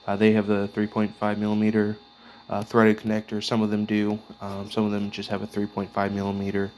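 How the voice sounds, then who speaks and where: A man giving a casual, unscripted show-and-tell of his gear as a hobbyist, speaking in a halting, piecemeal way as he handles items. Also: on the low side, completely flat delivery, a little mellow. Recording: clearly noisy background with clicks.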